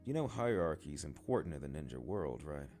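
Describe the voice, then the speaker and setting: A man's voice: a bass voice, very animated, a little fast, mellow, exaggerated voice. A man voicing a cocky older schoolboy bully from a storybook, slangy, taunting and condescending. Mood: menacing condescension. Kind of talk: storybook character dialogue. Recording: guitar music behind the voice.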